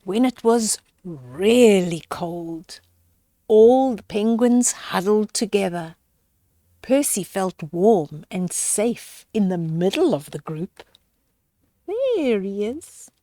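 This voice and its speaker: An older woman tells a picture-book story to small children. Her delivery is very animated and unhurried, in a close, dry sound. She often steps out of the text to explain things simply. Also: medium pitch, no room sound. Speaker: storyteller reading children's picture books aloud. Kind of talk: read-aloud children's story with chatty asides to young listeners.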